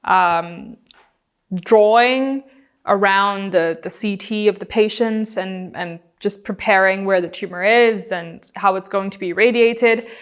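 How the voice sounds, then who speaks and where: A young adult woman, a guest doctor on a podcast, explaining her work at length in a relaxed, chatty way. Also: medium pitch, clear, resonant tone. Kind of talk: casual podcast interview.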